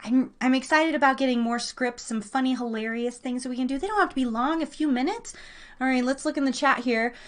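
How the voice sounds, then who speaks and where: A woman hosting a live trivia game in a casual, chatty, excitable and playful way, drawing out words for emphasis and talking to her listeners. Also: high voice, fairly quick.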